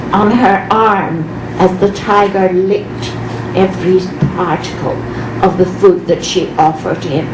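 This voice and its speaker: A woman telling a folk tale aloud as a live storyteller, with deliberate, dramatic phrasing and pauses. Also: medium pitch. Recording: roomy, distant mic, clearly noisy background.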